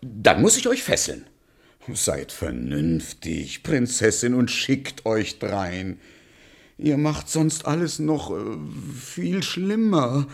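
An actor playing a reluctant, obedient royal huntsman, soft-hearted and fearful, halting and solemn in a radio play. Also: medium pitch, wildly swooping pitch, vocal fry, full character voice. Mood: grim, pleading. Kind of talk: German radio play, theatrical.

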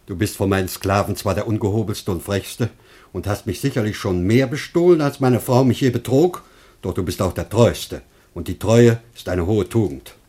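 Casting: self-righteous poor master, slightly low husky voice, very animated declamation, radio drama. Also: male voice, normal speed. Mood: dignified, lamenting. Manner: declamatory, pompous, excitable. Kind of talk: classic German radio play acting.

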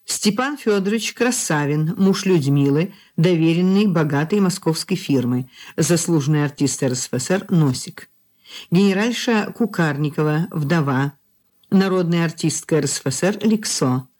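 A woman radio announcer reading credits in a measured, formal, scripted style. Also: sits low, lively intonation, darkish tone.

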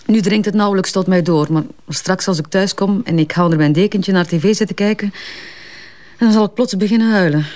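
A woman's voice: mid-range voice, a little mellow, close to an everyday voice. Self-assured Flemish businesswoman under questioning, played by an actress. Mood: composed, firm. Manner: brisk, candid, hesitant in spots, defensive. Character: self-assured female advertising strategist under police questioning. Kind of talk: naturalistic crime drama acting.

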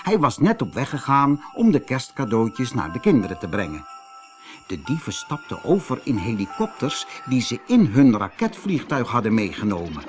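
A man with a Netherlands Dutch accent narrating a scripted children's fairy tale in an expressive storytelling manner. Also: medium pitch, moderate pace, faint music behind.

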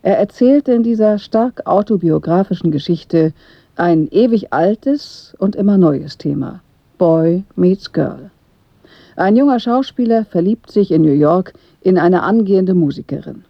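A radio announcer giving a formal, measured, scripted introduction to a broadcast play. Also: mid-range voice, expressive, vocal fry, no room sound. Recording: dry, slightly dull studio audio.

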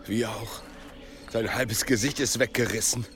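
An actor playing an excitable gatekeeper monk in a radio drama, agitated and faltering. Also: male voice, lowish voice, a little slow. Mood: grim, curt. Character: excitable, frightened monk guarding the monastery gate. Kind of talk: German radio drama acting.

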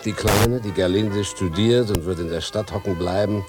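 A man's voice: on the low side, normal speed, over music, natural voice. An actor playing a wistful fifty-year-old farmer and father in a radio play, ruminative, earthy and plain-spoken. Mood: wistful, brooding. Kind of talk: German radio drama acting.

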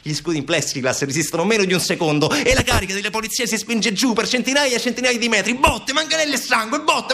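Fast-talking man, theatrical storyteller. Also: very high-pitched, pushed and bright. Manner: urgent, rapid-fire delivery with rhetorical repetition and lists. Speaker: stage actor and narrator. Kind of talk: dramatic monologue, theatrical storytelling for radio.

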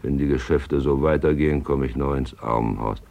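Radio play draper: rock-bottom gravelly bass, intimate, lovelorn, dryly complaining. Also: male voice, fairly quick, warm tone. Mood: dry, grumbling. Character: lovelorn, grumbling male small-town draper. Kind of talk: radio play monologue, reading a love letter.

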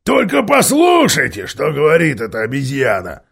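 A man voicing a rough, jeering dwarf leader in an audiobook, shouting loudly. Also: on the high side, wildly swooping pitch, normal speed, heavily acted.

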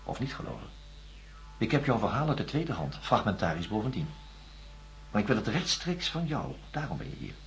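An actor playing a doctor in a radio drama, questioning a patient in a measured, coaxing, methodical way. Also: male voice, on the low side, very animated, vocal fry, soft background music. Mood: measured, probing. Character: probing, reassuring male doctor interviewing a patient. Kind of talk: science-fiction radio drama acting.

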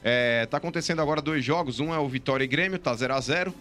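A man with a fairly high voice and a São Paulo Brazilian accent, talking as a sports radio host. He is slangy and matey, with light music behind him. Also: fast, pushed and bright. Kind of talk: casual sports radio banter and live ad reads.

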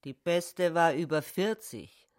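A woman reads literary narration for a radio play. She phrases deliberately at a very slow pace, in a slightly low voice.

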